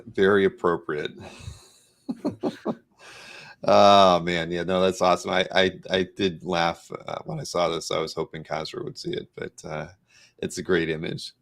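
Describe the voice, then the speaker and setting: A man's voice: sits low, level tone, normal speed, creaky, a bit bright. A man hosting a casual interview, chatting appreciatively as he comments on artwork. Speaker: show host, interviewer.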